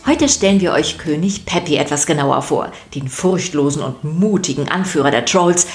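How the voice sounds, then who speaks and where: Low-pitched woman narrating for children. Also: animated, moderate pace, faint music behind. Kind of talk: scripted children's storytelling narration.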